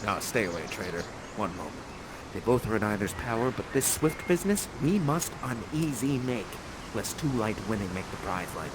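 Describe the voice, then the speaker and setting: A man voicing a stern, scheming older father and sorcerer who speaks gravely and commandingly. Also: fairly low pitch, sing-song, normal speed, noisy background, voice pushed down.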